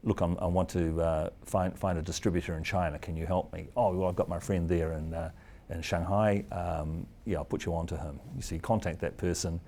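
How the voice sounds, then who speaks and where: A man's voice: deep voice, steady tone, a little fast, dark tone. A middle-aged man with a New Zealand accent giving an informal, anecdotal advisory talk in a colloquial way as a legal expert. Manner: conversational, colloquial, acts out quoted exchanges. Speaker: trademark law expert.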